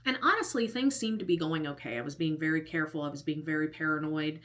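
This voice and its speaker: Woman, thirties, storytime vlogger, rambling casual chat, filler-heavy, somewhat low-pitched. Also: fast talker, a bit bright. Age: 30s. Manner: chatty and rambling, heavy use of "like", with whispered asides.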